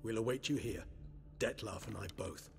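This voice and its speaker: A calm, erudite video game gentleman. He has a low voice and chooses his words formally and precisely. Music is clearly audible behind him. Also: moderate pace. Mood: calm, resolute. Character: refined, calm, erudite male gentleman and loyal friend. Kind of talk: video game cutscene acting.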